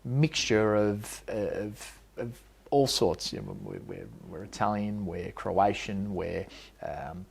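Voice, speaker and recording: A man's voice: lowish voice, very animated, slow, creaky, husky. A man running for mayor, answering interview questions in a rambling, hesitant way with many restarts, in a bar. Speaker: mayoral candidate and bar owner. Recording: loud background music.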